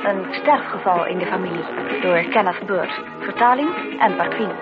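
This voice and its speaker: Woman announcer over loud music. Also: fairly low pitch, moderate pace. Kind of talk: scripted credits announcement. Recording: dull radio recording with loud music.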